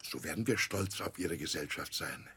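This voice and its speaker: An actor playing a hearty, humble older seafaring man with a husky, gravelly voice, courteous and deferential, in a 1960s German radio play. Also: very deep voice, expressive, normal speed, sharp tone, full character voice. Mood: grateful, hospitable. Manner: deferential, plain-spoken politeness.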